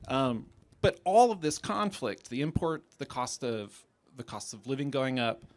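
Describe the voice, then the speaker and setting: An American man questions an opponent in a live debate like an academic. His mid-pitched voice is measured and analytical as he lays out his reasoning. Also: very animated.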